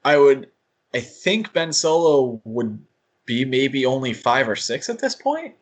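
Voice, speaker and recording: A man's voice: mid-range voice, big pitch swings, measured pace. A man co-hosting a podcast, talking casually and thinking aloud as he speculates, with hedges and asides. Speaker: podcast co-host.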